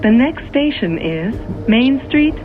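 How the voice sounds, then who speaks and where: A woman reading scripted transit announcements in a measured, clearly segmented way, as a train announcer. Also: on the high side, lively intonation, over loud music. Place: played over speakers inside a train. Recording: tinny band-limited speaker, noisy train interior. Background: train and vehicle noise.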